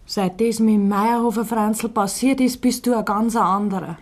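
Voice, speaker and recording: A woman's voice: medium pitch, fairly even intonation, normal speed, natural voice. An actress playing a worried, probing countrywoman in a Bavarian dialect radio play. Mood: suspicious, reproachful. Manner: insistent, reproachful. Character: worried, probing countrywoman confronting her man at table.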